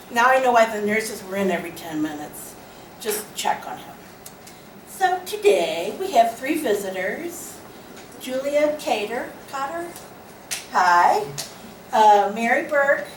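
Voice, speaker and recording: A woman jokes her way through the welcomes and prize draws at a club meeting, speaking slowly with a loud, chatty ease. Her slightly high voice comes from across an echoey room. A noisy room tone runs underneath. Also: distant mic. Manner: joking, chatty, self-mocking, loud. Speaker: hospitality chair giving out door prizes.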